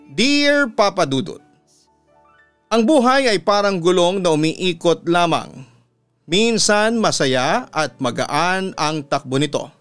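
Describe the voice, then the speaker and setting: A Filipino man with a radio announcer's delivery, narrating letters in a measured, sentimental way. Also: sits high, fairly bright tone, smooth. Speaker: radio announcer hosting a story channel. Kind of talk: dramatic scripted narration of listener letters. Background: background music.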